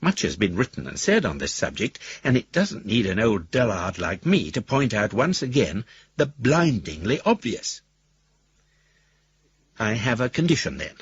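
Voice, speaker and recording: An actor playing an eccentric older billionaire reciting his will in a wry, wordy, deliberate manner for a radio drama. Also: lowish voice, huge pitch swings, slightly rough, no room sound, full character voice. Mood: sardonic. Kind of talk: literary monologue in a radio drama. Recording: dry, slightly dull studio recording.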